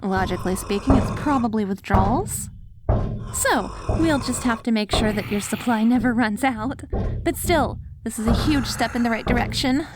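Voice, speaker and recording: High-voiced, devoted apocalypse wife, acted by a woman. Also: normal speed, very noisy, natural voice. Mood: gentle, methodical. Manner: tender, coaxing, speaks directly to a loved one. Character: devoted, hopeful wife caring for her zombie husband after apocalypse. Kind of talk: ASMR audio roleplay.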